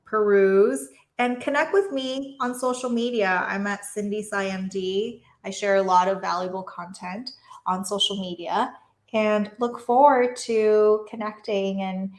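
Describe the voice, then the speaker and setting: A woman's voice: medium pitch, steady tone, a little slow. A woman who grew up in Taiwan, a physician and coach, talking earnestly and reflectively as an interview guest. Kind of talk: conversational interview. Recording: roomy echo.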